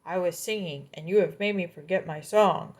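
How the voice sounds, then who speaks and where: A woman's voice: lowish voice, normal speed, darkish tone, natural voice. A woman voicing an eager, forgetful male coyote in a children's story, pleading and grumbling by turns.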